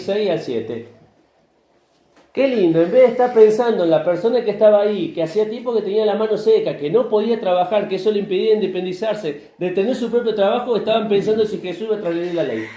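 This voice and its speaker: An Argentine pastor delivers a conversational sermon, often turning to the congregation with questions and slipping into short acted-out exchanges. His voice sits a little high but sounds mellow. The church room adds a roomy echo, with faint background ambience. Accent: Argentine Spanish. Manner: conversational preaching, asks the congregation questions, acts out bits of dialogue. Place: church hall.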